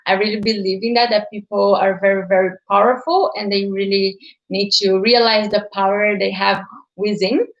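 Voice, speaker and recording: A woman's voice: medium pitch, steady tone, moderate pace, echoey room, dull audio. A woman in her twenties from Brazil, a native Portuguese speaker, talking casually to livestream viewers, with many fillers like "like" and "uh". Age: 20s. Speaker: channel host. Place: at home.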